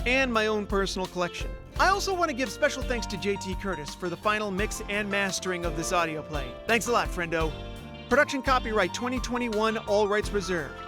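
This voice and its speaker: A man reading a casual scripted outro as the writer and director of an audio play. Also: high voice.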